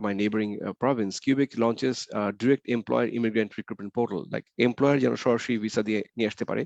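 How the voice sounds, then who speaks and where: Man, webinar presenter, very fast delivery, gravelly, dry muffled call audio. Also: medium pitch, a little mellow, no room sound. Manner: rapid, repeats key points, asks rhetorical questions. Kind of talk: slide presentation mixing English and Bengali. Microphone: computer mic on a video call.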